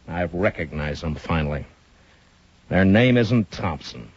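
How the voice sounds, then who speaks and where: An American actor playing a steady, dry-humored Old West marshal in measured 1950s radio drama style, a man of few words. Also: sits low, husky, no echo, heavily acted. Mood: grave, businesslike. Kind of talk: 1950s radio western acting and first-person narration. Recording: dull, lo-fi 1950s radio recording.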